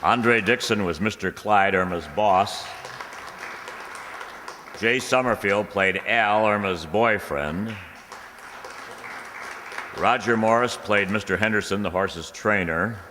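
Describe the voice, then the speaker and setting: A man's voice: fairly low pitch, takes it slowly, long pauses. A man announcing scripted credits as the announcer of a radio comedy show. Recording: echoey hall, crowd and clear noise.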